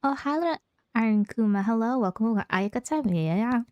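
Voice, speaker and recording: A woman's voice: on the high side, lively intonation, fast talker, no echo, highs cut off. A woman streaming a video game, chatting casually and reacting live as she plays. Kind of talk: casual live playthrough chat.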